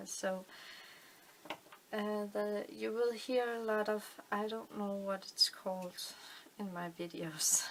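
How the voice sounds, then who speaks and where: Slow, gravelly Danish woman crafting. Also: mid-range voice, a little hiss or hum, poor audio. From Denmark. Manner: unhurried, thinking aloud with many fillers. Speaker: crafting channel host. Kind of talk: casual craft tutorial. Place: indoors at a table, hands on camera.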